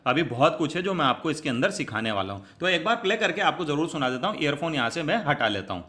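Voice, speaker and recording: Bright-voiced man giving a tutorial. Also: a little high, sing-song, quick pace. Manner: chatty, repetitive, explanatory. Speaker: tutorial presenter. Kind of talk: step-by-step spoken app tutorial.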